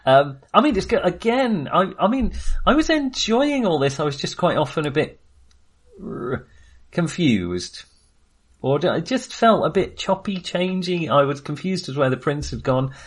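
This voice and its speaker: A man's voice: a little high, very animated, moderate pace, slightly rough. A man co-hosting a casual film discussion, talking in a hesitant, self-deprecating way. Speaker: podcast co-host reviewing films.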